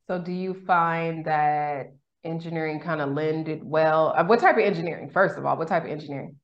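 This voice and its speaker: A woman's voice: low-pitched, moderate pace, lo-fi sound. A woman hosting a podcast, asking questions in a loose, casual way and backtracking as she goes. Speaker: podcast host. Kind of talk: casual interview chat.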